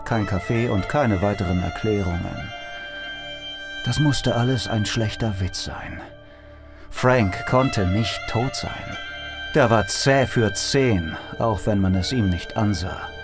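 Low-voiced male actor as weary noir detective, dry sardonic inner narration for an audio drama, music underneath. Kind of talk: scripted first-person audio drama narration. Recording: music behind the voice.

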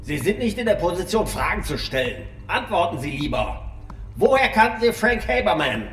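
Higher-voiced male actor as curt, menacing police commissioner, audio drama interrogation, echoey room. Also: sing-song, moderate pace, fairly bright tone. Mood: accusing. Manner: curt, accusatory, threatening. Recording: echoey room, faint background noise. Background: faint room ambience.

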